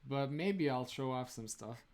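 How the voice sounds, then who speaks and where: A man's voice: mid-range voice, lively intonation, unhurried, darkish tone. A young man from Romania, the host of a live stream, chatting casually with viewers, laughing often and filling his talk with "like". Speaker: streamer answering chat.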